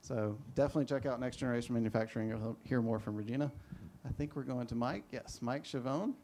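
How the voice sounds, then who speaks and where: A man's voice: mid-range voice, fast talker, warm tone, a little hiss or hum. A man moderating a panel, introducing the speakers in a rambling, self-deprecating way. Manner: rambling, self-deprecating, many fillers and restarts.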